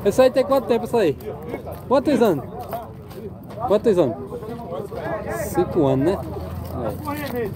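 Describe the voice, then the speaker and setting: A man's voice: high voice, big pitch swings, unhurried, long pauses. A man with a Northeastern Brazilian accent, vlogging from an outdoor horse fair, chatting with sellers and repeating their prices back. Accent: Northeastern Brazilian Portuguese. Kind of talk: casual on-the-spot interviewing. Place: busy outdoor livestock fair. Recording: loud crowd outdoors.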